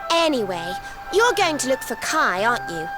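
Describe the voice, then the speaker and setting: A woman playing a bossy, feisty little girl in a video game, sharp-tongued, haughty and quick to scold. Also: sits high, huge pitch swings. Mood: grumpy. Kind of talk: video game character acting. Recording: music behind the voice.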